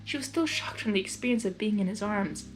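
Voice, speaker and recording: A giggly, expressive woman reading a story and reacting casually as she goes. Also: mid-range voice, brisk, low-quality recording. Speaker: fan fiction reader. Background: music.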